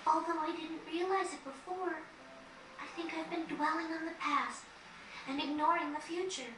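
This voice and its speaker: A woman voicing a gentle, earnest young girl who uses magic, in scripted video game cutscene acting with a softly sincere delivery. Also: extremely high pitch, fairly even intonation, moderate pace. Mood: tender, wistful. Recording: roomy, distant, noisy game capture.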